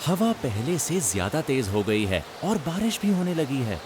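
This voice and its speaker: A man narrating a scripted children's animated story. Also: medium pitch, normal speed, natural voice. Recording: noisy storm-like ambience behind.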